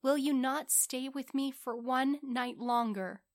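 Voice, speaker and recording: Woman voicing a sorrowful, pleading storybook prince, lifted high. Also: slow. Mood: imploring. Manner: earnest, imploring, commanding. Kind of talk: storybook character voice.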